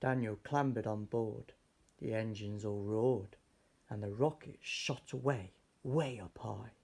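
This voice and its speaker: A man reading a rhyming children's story aloud in an expressive, theatrical, measured way. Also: lowish voice, gravelly, husky. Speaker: storybook reader.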